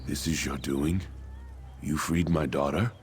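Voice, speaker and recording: Low-voiced, earnest grateful father. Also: measured pace, music in the background. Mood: relieved, grateful. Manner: earnest, solemn. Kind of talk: video game character acting.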